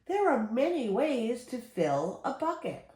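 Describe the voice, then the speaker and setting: An older woman reading a children's picture book aloud as a storyteller, with expressive, deliberate phrasing for young listeners. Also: on the high side. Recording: roomy echo with background noise.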